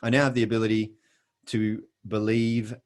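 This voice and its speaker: Earnest Australian man, lowish voice. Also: level tone, normal speed, a little mellow. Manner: earnest, emphatic storytelling with self-deprecating jokes. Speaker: presenter. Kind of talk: scripted motivational presentation.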